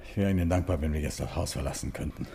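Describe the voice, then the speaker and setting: An actor playing a cultured, high-strung music publisher under questioning in a German radio crime drama, precise and formal, in an echoing room. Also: male voice, racing, dark tone. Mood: drained, subdued. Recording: roomy echo.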